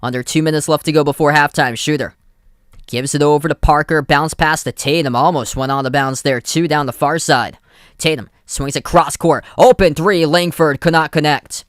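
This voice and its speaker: A man's voice: on the high side, moderate pace, no room sound, studio quality. Boston-accented man calling a game. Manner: steady running commentary in short clipped phrases. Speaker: live play-by-play streamer. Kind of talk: amateur play-by-play commentary.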